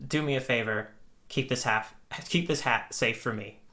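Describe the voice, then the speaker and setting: Male podcast co-host, mid-pitched and bright, earnest and deliberate in casual conversation. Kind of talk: casual chat.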